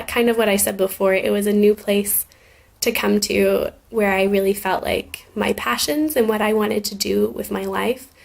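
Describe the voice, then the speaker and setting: A young woman with an American accent, raised in Scotland and Germany, answering questions for an English class in a relaxed, thoughtful way, with frequent ums. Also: medium pitch, vocal fry. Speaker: guest answering student questions.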